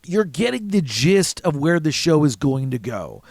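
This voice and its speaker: A man's voice: fairly high pitch, big pitch swings, a little slow, vocal fry, a little mellow, no echo. A man presenting an introduction as host of an old-time radio program. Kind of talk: scripted-sounding introduction, presenting.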